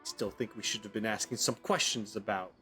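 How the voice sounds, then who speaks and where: A man's voice: lowish voice, fairly even intonation, fairly quick, bright, forceful tone, faint music behind. A man streaming a game with casual let's-play commentary, rambling as he thinks aloud. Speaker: gaming streamer.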